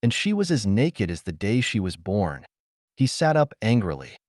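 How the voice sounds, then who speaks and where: A man narrates pulp science fiction in a low voice. His pitch moves about a great deal as he reads, and the sound is dry and close. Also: very animated, normal speed, darkish tone, no echo. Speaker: audiobook narrator.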